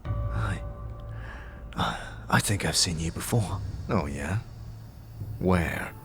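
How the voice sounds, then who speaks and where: An actor playing a curious amnesiac wanderer, terse and questioning, in a fantasy audio drama. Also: male voice, low-pitched, lively intonation, slow pace, music in the background. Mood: probing.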